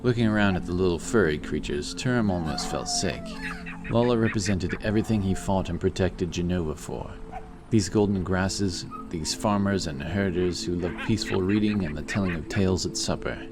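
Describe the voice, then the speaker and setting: A man's voice: low-pitched, moderate pace. A man reading scripted fantasy narration as a storyteller, with music behind his voice.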